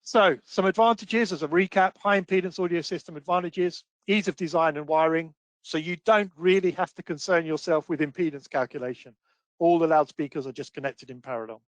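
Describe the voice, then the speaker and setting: A man with a slightly high voice, recorded dry and close. He presents technical training in an explanatory style, working through each point step by step with practical examples. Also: fairly quick, dry recording, rough recording. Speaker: webinar presenter, audio trainer.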